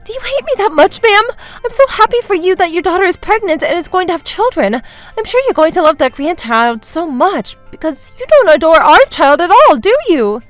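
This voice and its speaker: Young daughter-in-law voiced by a woman narrator, high and animated, playing innocent and polite in a family drama story. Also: moderate pace, hardly any pauses, no echo, faint music behind. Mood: plaintive. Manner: exaggerated innocence, polite, plaintive.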